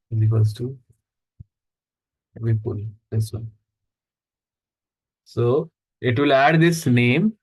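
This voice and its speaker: A man's voice: mid-range voice, poor audio. Man teaching a live coding class. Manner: explains step by step with questions to the class. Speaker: instructor.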